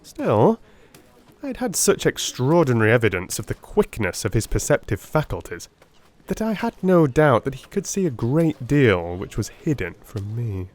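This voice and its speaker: A man narrating a classic story as a dramatic audiobook, with theatrical, deliberate phrasing. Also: medium pitch, sing-song, a little mellow.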